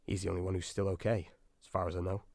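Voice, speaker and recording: An actor playing an anxious, self-doubting, sardonic submarine doctor in a radio drama. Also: male voice, deep voice, level tone, very fast, vocal fry, a little mellow, dry recording, studio quality. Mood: brooding, weary. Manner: nervy, sardonic, brooding. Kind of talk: radio drama acting with diary-style monologue.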